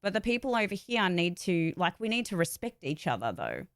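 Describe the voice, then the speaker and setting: Female vlogger, slightly low register, dry studio-like sound; opinionated, informal delivery, blunt and unafraid to swear. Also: big pitch swings, fairly quick, fairly bright tone, dry recording. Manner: emphatic, candid, sweary. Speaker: vlogger, actor and voice-over artist. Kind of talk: casual opinionated talk to viewers.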